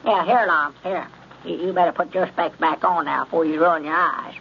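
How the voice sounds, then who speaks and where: An actor playing a fretful, excitable old country storekeeper with a rural Southern accent in a 1930s radio comedy. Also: male voice, on the high side, normal speed, creaky. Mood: amused, bossy. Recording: dull, noisy old radio recording.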